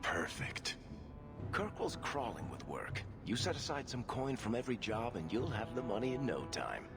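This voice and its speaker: An actor playing a wry, smooth-talking dwarf rogue and dealmaker in a video game, persuasive and glib. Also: male voice, medium pitch, sing-song, moderate pace. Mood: earnest persuasion. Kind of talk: video game cutscene dialogue. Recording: music behind the voice. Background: background music.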